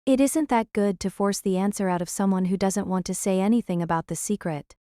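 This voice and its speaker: A woman's voice: medium pitch, a little fast, warm tone, no echo, clean studio sound, natural voice. A woman playing a dutiful, earnest young female city police officer in an audio drama. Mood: quiet, concerned.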